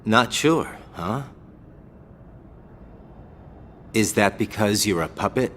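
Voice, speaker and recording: A cool, wry game character with a low, unhurried voice. He talks bluntly and knowingly, like a trusted friend, in a long reverberant space. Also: expressive, a bit bright. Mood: wry, probing. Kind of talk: dubbed game cutscene acting. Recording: reverberant hall, faint rumbling noise.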